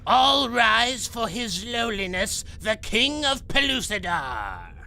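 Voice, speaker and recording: Sharp, piercing mole-man bailiff. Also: sits high, very animated, takes it slowly, exaggerated voice. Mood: ceremonious, proclaiming. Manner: pompous, ceremonious proclaiming. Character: grandiose mole-man court bailiff, solemnly proclaiming. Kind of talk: adventure audio drama acting.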